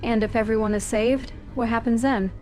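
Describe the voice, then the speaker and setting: A woman's voice: a little high, steady tone, normal speed, a little mellow, a little hiss or hum, lightly acted. A woman voicing a stern young female elf warrior hero, speaking firmly and tersely. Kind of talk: fan-voiced game protagonist.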